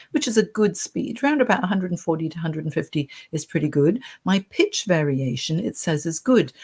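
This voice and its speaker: A woman career coach with a soft voice, chatting her way through a screen-share tutorial on a computer mic. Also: low-pitched. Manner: chatty, reassuring, talks through clicks.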